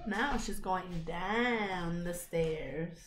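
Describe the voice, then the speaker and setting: Slow-paced young woman teacher, roomy echo. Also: lowish voice, noisy background. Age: young adult. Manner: simple, encouraging prompts and repetition of a child reader. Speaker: teacher hosting a children's read-aloud. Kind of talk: simple guided read-along talk to young children.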